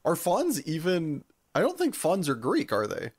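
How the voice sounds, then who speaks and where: A man's voice: on the high side, huge pitch swings, moderate pace, husky, dry recording. A man co-hosting a podcast in casual banter, informal and wry with the odd swear word. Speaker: podcast co-host.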